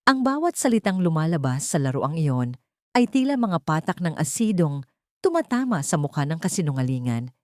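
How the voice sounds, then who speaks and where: A woman's voice: on the low side, darkish tone, no room sound, studio quality. A woman with a Filipino accent giving a dramatic scripted narration as an audiobook narrator.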